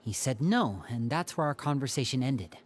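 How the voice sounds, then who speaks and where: A man's voice: medium pitch, animated, moderate pace, slight background noise, natural voice. An actor voicing a thoughtful, earnest young male magician in a video game, telling a story in a reflective way. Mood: wistful. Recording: game audio over soft music.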